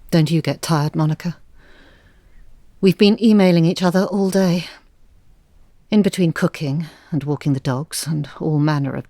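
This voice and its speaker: Low-voiced, weary, wistful mother. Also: dark tone, dry recording, clean studio sound. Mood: weary reproach. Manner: reflective, plaintive, articulate. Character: weary, wounded married mother, wistful and earnest. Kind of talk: audio drama acting, confessional monologue.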